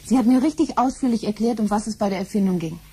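A woman's voice: medium pitch, fast, dark tone, slight background noise, natural voice. An actress playing a pragmatic, conspiring middle-aged wife and mother in a science-fiction radio play. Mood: conspiratorial.

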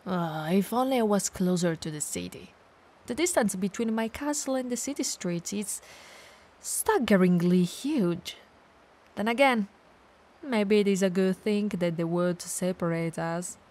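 A woman's voice: mid-range voice, measured pace, darkish tone, close to an everyday voice. A woman playing a playful, clumsy, bloodthirsty female vampire who mutters theatrically to herself in an ASMR audio roleplay. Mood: musing, wistful.

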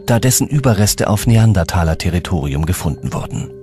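A man reading scripted documentary narration in a measured, serious way. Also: sits low, expressive. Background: background music.

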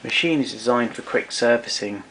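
A man's voice: mid-range voice, a little fast, a bit bright, poor audio. A man giving an unscripted technical demonstration in a matter-of-fact, somewhat hesitant way. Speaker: demonstrator of vintage tape recorder.

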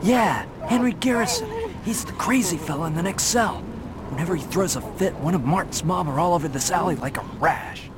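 A man's voice: fairly high pitch, animated, a little fast, lightly acted. A man playing an agitated, exasperated jailed store manager in a video game. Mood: nervous. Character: jumpy, indignant male jailed store manager. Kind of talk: game cutscene acting. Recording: loud ambient noise behind.

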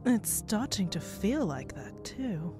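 A woman playing a gentle, teasing expectant wife in an audio drama. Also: medium pitch, very animated, takes it slowly, music in the background. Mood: tender, teasing. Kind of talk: intimate audio drama dialogue.

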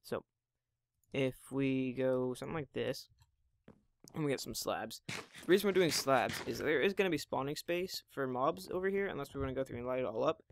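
A hoarse teenage boy in his mid-teens, with occasional voice cracks, chatting casually while playing a building game at home. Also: mid-range voice, expressive, moderate pace. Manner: rambling, chatty, self-deprecating. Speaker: Minecraft gameplay channel host. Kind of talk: casual gameplay commentary.

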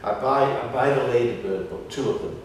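An older man chatting casually in an interview, halting and repeating words as he tells anecdotes. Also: medium pitch. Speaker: pantomime writer and actor. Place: small indoor room, seated on sofa. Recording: echoey room, distant mic, faint noise.

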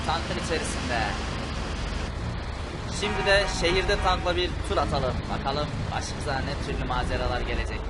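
A man's voice: on the high side, fairly even intonation, normal speed. A man doing playful, exclamatory play-acting commentary on a video game for a children's channel. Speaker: host of children's gaming channel. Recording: loud vehicle noise behind voice. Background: game engine and vehicle sounds.

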